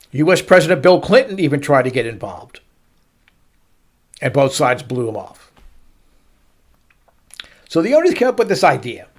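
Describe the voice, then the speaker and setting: A middle-aged man hosting a baseball show, telling a story to listeners with emphatic, dramatic pauses and stretched-out words. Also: a little high. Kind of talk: storytelling sports commentary to listeners.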